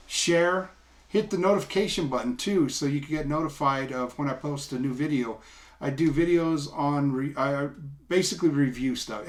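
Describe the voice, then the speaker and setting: Middle-aged man, casual halting explainer. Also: on the high side, fairly bright tone. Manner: informal, halting step-by-step explaining. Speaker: product reviewer. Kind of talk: casual unscripted product demo. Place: small indoor room, seated at a table.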